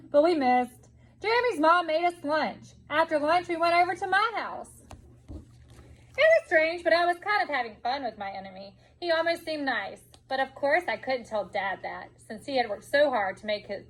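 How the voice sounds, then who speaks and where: A woman reading a children's picture book aloud in an expressive storytelling way, drawing out words for emphasis. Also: sits high, poor audio. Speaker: storybook reader.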